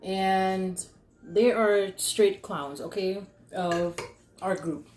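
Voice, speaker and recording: A woman's voice: medium pitch, very slow, a little mellow, slight background noise. Young woman just chatting through a story, slangy, does the quotes, echoey room. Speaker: storyteller, vlog host. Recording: roomy, echoey sound.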